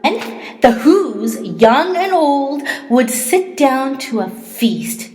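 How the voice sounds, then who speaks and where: A woman teacher reading a children's storybook aloud in a theatrical, drawn-out and emphatic manner. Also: high voice, very animated, a little mellow. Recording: echoey, reverberant hall.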